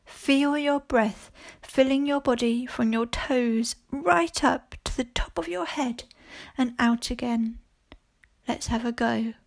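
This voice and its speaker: A woman teacher leading a guided mindfulness body scan for children, speaking calmly and gently in short phrases with long pauses. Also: fairly high pitch, gravelly. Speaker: primary school teacher leading a guided meditation.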